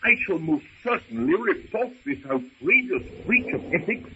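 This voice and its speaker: An actor playing a pompous, pedantic, easily affronted older professor in an old-time radio drama, speaking in formal, long-winded phrases. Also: male voice, high voice, big pitch swings, moderate pace. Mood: pompous indignation. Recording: muffled, noisy old radio recording.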